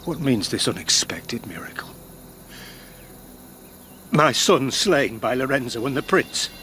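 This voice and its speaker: Anguished grieving father, slow-paced. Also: medium pitch, sing-song, sharp tone, a little hiss or hum. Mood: brooding, wary. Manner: anguished, brooding soliloquy delivery. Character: grief-stricken father, Knight-Marshal of Spain, bent on revenge. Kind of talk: classical verse radio drama.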